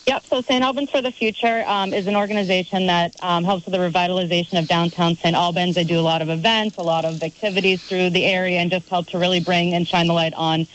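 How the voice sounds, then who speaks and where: A woman festival organizer in a radio interview, upbeat and brisk as she runs through lists of attractions. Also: fairly low pitch, fairly even intonation, highs cut off, a little hiss or hum.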